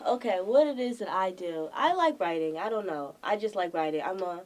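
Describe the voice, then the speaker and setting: A woman from the Bahamas, a nonprofit director, giving easygoing, soft-spoken interview answers. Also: mid-range voice, expressive, a little fast, far from the mic.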